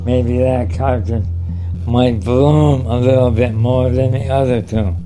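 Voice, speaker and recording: A man's voice: mid-range voice, fairly even intonation, takes it slowly, over music. A man in his seventies making informal remarks in a recorded interview. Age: 70s.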